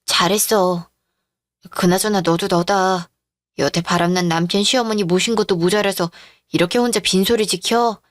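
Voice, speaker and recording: A woman's voice: medium pitch, fairly even intonation, moderate pace, no room sound, studio quality, lightly acted. A woman playing a concerned but blunt female friend in a radio-drama style story. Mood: concerned, scolding.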